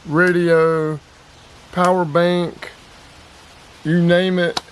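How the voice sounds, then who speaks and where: A man's voice: high-pitched, little pitch movement, drawn-out words, rough recording. A man giving a casual, plainspoken vlog demonstration outdoors, with emphatic repetition. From North Carolina, US. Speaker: outdoors and radio hobby vlogger. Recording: clear background ambient noise.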